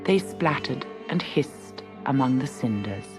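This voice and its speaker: A woman narrates a fairy tale as a storyteller. Her low, breathy voice moves slowly and expressively through the scripted text, rising and falling widely. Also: expressive, loud music behind the voice.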